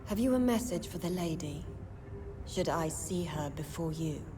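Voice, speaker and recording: An actress playing a wise, cryptic old sewer-dweller with a formal, archaic manner in a video game. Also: female voice, lowish voice, lively intonation, moderate pace, music in the background, lightly acted. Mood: solemn. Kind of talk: dramatic video game acting.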